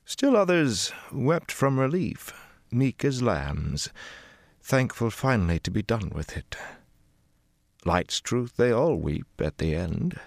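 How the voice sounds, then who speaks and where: A man voicing an older woman sorceress who speaks slowly, in a measured and unshakably composed way. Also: medium pitch, very animated, gravelly, no echo, clean studio sound, voice pushed up, heavily acted. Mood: calm.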